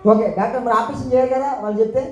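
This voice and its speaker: High, quick-talking woman hosting, echoey room. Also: fairly even intonation, lo-fi sound. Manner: exclaiming, questioning, repeats for the children. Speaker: puppet show host at children's Sunday school. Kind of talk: animated question-and-answer with puppet and children. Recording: echoey room, clearly noisy background.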